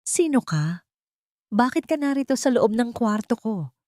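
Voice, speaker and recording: A woman's voice: fairly high pitch, big pitch swings, a little slow, dark tone, no room sound, voice pushed up. A cold man in a hospital bed, acted by a female narrator, speaking sharply and dismissively. It is a dry, close audiobook recording. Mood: alarmed, cold. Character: cold male hospital patient rejecting a visitor.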